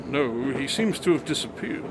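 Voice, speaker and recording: An actor playing a brisk, self-assured, formal consulting detective in a radio drama. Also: male voice, medium pitch, animated, background noise, lightly acted. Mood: pensive.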